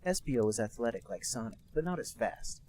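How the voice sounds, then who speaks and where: Man, mid pitch, comedy podcast co-host, deadpan fanfic reading, mocking asides. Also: a little fast, a little hiss or hum.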